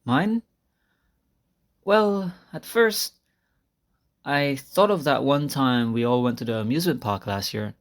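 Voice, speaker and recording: A man playing a shy, earnest high school senior in an intimate roleplay, talking softly and hesitantly to his crush. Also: slow, long pauses. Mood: nostalgic, bashful. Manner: soft-spoken, hesitant, conversational with sighs and small laughs. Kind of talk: intimate roleplay audio monologue to a listener. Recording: amateur recording without a proper microphone.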